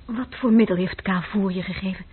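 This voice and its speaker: An actress playing a scornful, clear-headed woman in a radio drama, husky and challenging. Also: medium pitch, brisk, natural voice. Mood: questioning. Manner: taunting, commanding. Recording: muffled, tinny old radio-play recording.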